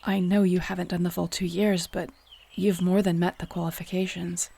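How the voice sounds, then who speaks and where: Casting: actress as young female shifter Alpha, earnest and vulnerable, husky with a gravelly edge, intimate audio drama. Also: on the low side, level tone, moderate pace, dark tone. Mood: sincere, restrained. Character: young shapeshifter woman, new clan Alpha, earnest, vulnerable, tender. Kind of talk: intimate audio roleplay acting addressed to the listener.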